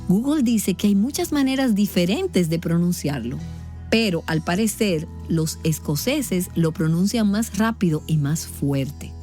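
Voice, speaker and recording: A woman's voice: lowish voice, animated, unhurried, warm tone, soft background music. A woman hosting a podcast, interviewing a guest in a friendly, conversational way. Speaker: podcast host.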